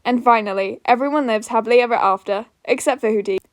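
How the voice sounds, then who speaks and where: A woman's voice: on the high side, fast talker, dry recording, studio quality. A woman reading a scripted comic fan story aloud as its narrator. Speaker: podfic narrator.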